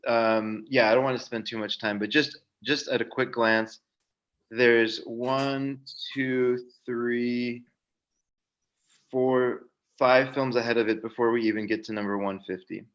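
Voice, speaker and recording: A man co-hosting a film podcast, chatting casually in a measured, slightly hesitant way. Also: mid-range voice, fairly even intonation, fairly bright tone, muffled recording. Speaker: podcast co-host.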